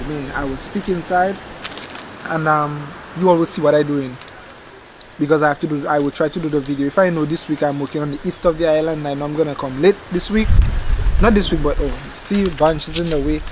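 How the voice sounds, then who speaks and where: Young man, rambling, self-correcting vlog chat, slightly raised pitch, faint yard ambience behind. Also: fairly quick, a little hiss or hum, poor audio. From Dominica. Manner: relaxed and chatty, self-correcting, laughs at his slips. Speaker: gardening channel host. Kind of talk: casual rambling chat to camera. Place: outdoors in a backyard garden. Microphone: camcorder microphone.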